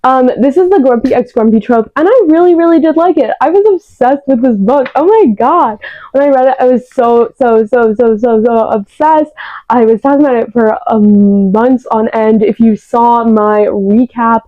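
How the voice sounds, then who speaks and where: A woman's voice: fairly high pitch, normal speed, no echo, narrow, tinny audio. A young woman reviewing books in a casual, gushing vlog, often repeating words for emphasis, talking into a new microphone. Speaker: book vlogger. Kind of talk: casual chatty book review. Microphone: new external microphone.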